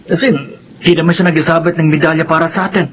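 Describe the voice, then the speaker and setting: A man's voice: a little high, level tone, rapid-fire, a bit bright, slight background noise, lightly acted. A man playing a protective, resentful schoolboy son in a radio drama, earnest and confrontational.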